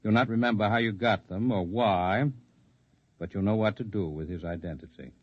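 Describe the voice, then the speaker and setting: A man's voice: on the low side, dry recording, natural voice. Man acting a composed idealistic rebel leader, muffled vintage radio. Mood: calm, instructive. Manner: measured, formal, speechifying. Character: composed, articulate male underground freedom movement leader, idealistic and persuasive. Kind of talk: 1950s radio drama acting. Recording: dull lo-fi vintage radio recording.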